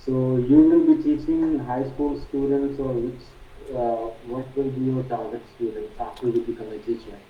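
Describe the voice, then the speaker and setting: Indian guest on a video call, sounds far off in an echoey room, dull audio, keeps asking questions. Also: male voice, mid-range voice, fairly even intonation, normal speed, slight background noise. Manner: inquisitive, asks a string of questions. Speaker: guest on an English practice call. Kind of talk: casual conversation.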